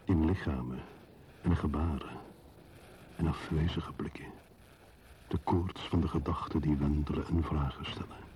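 Very deep, somber man. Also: darkish tone, a little hiss or hum. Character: somber man voicing feverish, restless thoughts. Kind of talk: poetic radio drama monologue.

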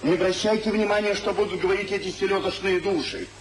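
An actor playing a good-natured, earnest family friend and peacemaker in a 1950s radio play, theatrical and soothing. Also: male voice, sits high, fairly even intonation, fast, a crowd in the background, lightly acted. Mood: soothing, pleading. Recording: noisy old 1950s radio recording.

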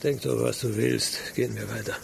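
An actor in a German radio drama playing an earnest, proud city guard colonel of humble birth, gruff and plain-spoken. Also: male voice, medium pitch, fairly even intonation, moderate pace, slight background noise.

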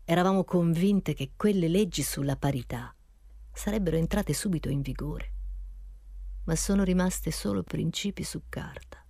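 A woman as an aged, indignant constitution-maker looking back, her testimony husky, breathy and creaky. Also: fairly low pitch, normal speed, dark tone, no room sound, clean studio sound. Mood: indignant, reflective.

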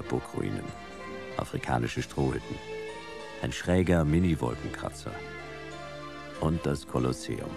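A man playing a world-weary, middle-aged private detective in a noir radio play, speaking in a dry, clipped, deadpan way. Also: very deep voice, vocal fry, full character voice. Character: laconic, world-weary male private detective narrating his case.

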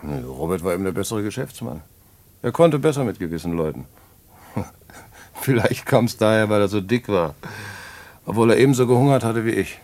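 A cocky petty crook spinning a yarn, slangy and chatty, lowish voice, radio play. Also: male voice, expressive, brisk, pauses often, a little mellow. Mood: boastful. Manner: chatty, colloquial, swaggering. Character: boastful, slangy small-time crook telling his adventure.

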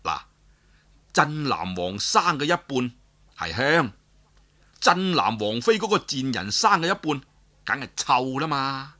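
A man with a Cantonese accent voicing a scheming, flattering young nephew in a story, glib and crude. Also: medium pitch, measured pace, long pauses, full character voice. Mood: sly, scornful.

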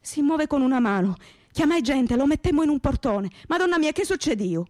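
A woman's voice: high voice, animated, brisk, warm tone, dry recording, clean studio sound. An actress playing a devout young working-class woman with a Calabrian Italian accent, telling her story earnestly and emotively in a radio theatre monologue. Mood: agitated, terrified. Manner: emotive storytelling in plain, dialect-tinged popular speech.

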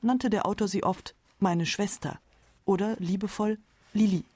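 A woman's voice: medium pitch, fairly even intonation, normal speed, darkish tone, husky, no room sound. A woman narrating a radio feature from a script. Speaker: documentary narrator.

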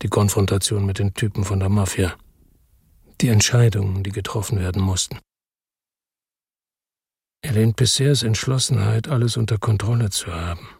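An actor playing a brooding, world-weary hero who narrates a crime story in a radio drama, introspective and grave, with a husky voice. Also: male voice, lowish voice, darkish tone, dry recording, clean studio sound, heavily acted. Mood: grave, resigned.

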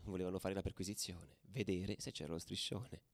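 A man's voice: sits low, fairly even intonation, brisk. A man acting as a combative football ultra in a radio play, telling his story in colloquial, dramatic style. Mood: tense recollection. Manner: colloquial, swinging from hushed to shouting. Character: angry, combative male football ultra recounting street battles. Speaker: actor narrating a monologue.

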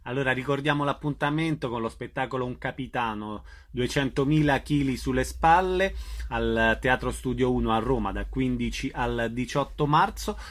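A man's voice: medium pitch, normal speed, a bit bright. A man hosting a radio interview, putting questions to guests and announcing show details.

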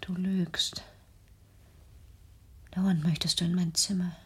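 An actress playing a fearful, reclusive daughter in a German radio drama, speaking in a hushed, brooding, intense way with a whispery voice. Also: female voice, lowish voice, little pitch movement, slow pace, full character voice. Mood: defiant, accusing. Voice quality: breathy, whispery. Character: fearful, paranoid, reclusive daughter haunted by persecution.

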